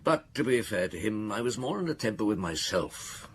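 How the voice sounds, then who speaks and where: A man's voice: on the low side, very animated, normal speed, a little hiss or hum. A man acting a patient, wry and genial police chief inspector in a radio drama, musing in a British accent. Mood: wry, reflective.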